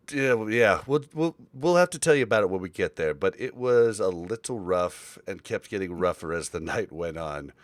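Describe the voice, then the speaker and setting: A man's voice: lowish voice, no room sound. A man hosting a casual podcast chat, rambling along with frequent fillers and restarts. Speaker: podcast host.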